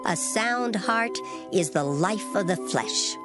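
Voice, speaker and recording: A woman giving a dramatized reading of scripture in formal old English, measured and solemn. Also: medium pitch, lively intonation. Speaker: scripture reader. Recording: loud music behind the voice. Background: music.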